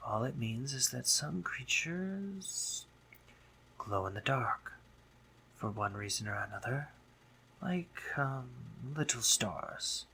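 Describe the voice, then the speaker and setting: Slow, teasing spider monster boy, acted by a man. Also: medium pitch, animated, bright, forceful tone, a little hiss or hum, exaggerated voice. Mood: doting, instructive. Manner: teasing, deliberate, doting, with breathy murmurs. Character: dominant, teasing, playful spider monster boy in a decrepit castle. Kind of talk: scripted romantic roleplay audio drama. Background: ambient sound effects.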